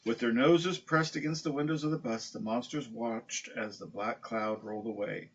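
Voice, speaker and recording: Man, children's story read-aloud, husky, medium pitch, lo-fi sound. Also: fairly even intonation, moderate pace. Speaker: storybook reader, dog owner. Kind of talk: reading a children's picture book aloud.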